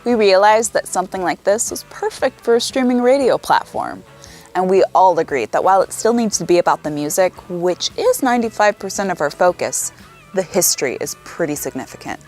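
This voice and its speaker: Female promo presenter over soft music. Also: on the low side, animated, a little fast. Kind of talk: scripted promotional narration. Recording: music bed under voice.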